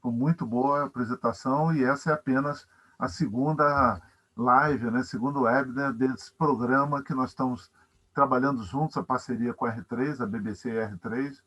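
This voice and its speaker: A man's voice: mid-range voice, steady tone, normal speed, fairly bright tone. A man with a Brazilian accent hosting a webinar, speaking politely and formally as he gives thanks. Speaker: webinar host. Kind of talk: courteous closing remarks. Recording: dull, lo-fi online call audio.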